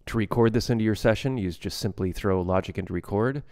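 A man giving a casual software tutorial as a music production instructor, explaining plainly step by step. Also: fairly low pitch, fast, very noisy.

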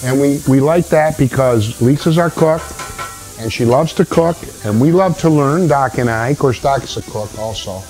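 A man's voice: medium pitch, normal speed, a little mellow, faint music behind, poor audio. An older man co-hosting a home cooking show, casually chatting and asking the cook many questions. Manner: curious, asks many questions. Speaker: co-host. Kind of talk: casual kitchen chat. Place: home kitchen.

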